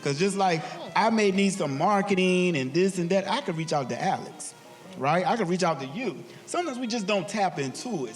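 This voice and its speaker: A man hosting and moderating a live podcast panel in a theatre, talking in an animated, encouraging way. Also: a little high. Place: theatre stage.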